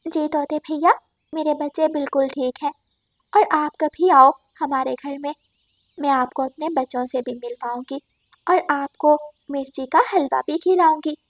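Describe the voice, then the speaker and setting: A woman's voice: high-pitched, steady tone, a little slow, no room sound, clean studio sound, voice pushed up. A woman voicing a kind, caring mother bird in a cartoon story, speaking politely and earnestly. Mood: polite, friendly.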